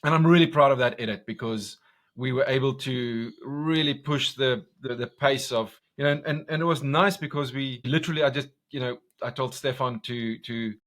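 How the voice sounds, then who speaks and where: Loud, intense Afrikaans-accented man. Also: mid-range voice, moderate pace. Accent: Afrikaans South African. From South Africa. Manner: loud, intense, passionate, many restarts. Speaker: guest, commercial director. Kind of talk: casual interview conversation.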